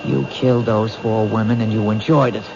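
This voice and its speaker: An actor playing a bold, sharp-witted male police detective in a radio drama, challenging and insistent. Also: on the low side, fairly even intonation, moderate pace, gravelly. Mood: goading. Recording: muffled, noisy old radio broadcast.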